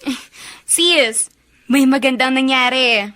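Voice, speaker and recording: A woman's voice: sits high, takes it slowly, bright, forceful tone, full character voice. A woman playing a cheerful, smitten young college student in a Filipino radio drama, sweet and earnest. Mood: excited, teasing.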